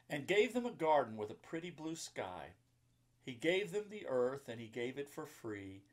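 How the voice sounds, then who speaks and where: Male, 50s, pastor type with a mid-range voice, reading and explaining simply for young listeners. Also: medium pitch. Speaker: pastor and children's book author. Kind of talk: children's story reading with chatty asides. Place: indoor book-lined room at home or office.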